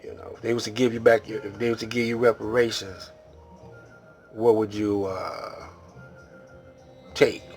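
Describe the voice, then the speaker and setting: An American man hosting a casual monologue, talking in a halting, rambling, streetwise way. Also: on the low side, fairly even intonation, faint music behind. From Detroit, Michigan, United States. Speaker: video host.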